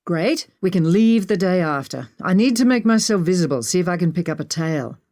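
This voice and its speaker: A woman's voice: fairly low pitch, lively intonation, a little fast, darkish tone. A woman voicing a confident, teasing male adventurer in a narrated story. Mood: businesslike.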